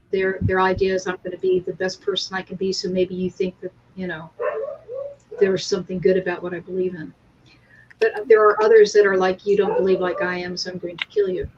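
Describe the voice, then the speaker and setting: The audio is dull and lo-fi, with noise behind the voice. A woman of average pitch talks in a casual, conversational way as part of a discussion. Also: darkish tone. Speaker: co-host. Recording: muffled lo-fi audio with clear noise.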